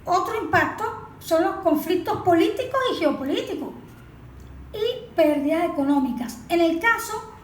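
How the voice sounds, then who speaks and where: High-voiced woman explaining in echoey room. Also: lively intonation, moderate pace. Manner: didactic, poses rhetorical questions and answers them. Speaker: environmental expert being interviewed. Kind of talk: explanatory interview answers with slides. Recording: echoey room with faint background noise.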